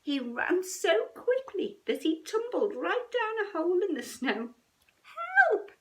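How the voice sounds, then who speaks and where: Woman, children's bedtime story reader, very lively intonation, leisurely pace, dramatic, sometimes hushed. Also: extremely high pitch, unhurried, recorded from a distance.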